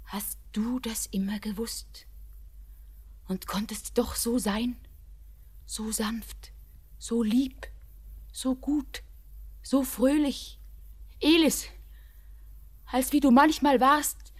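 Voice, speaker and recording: A woman playing an anguished young bride in a German radio play, tender and despairing, shifting between hushed whispers and impassioned outcries. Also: a little high, steady tone, extremely slow, pauses often, slightly rough, full character voice. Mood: tender, bewildered.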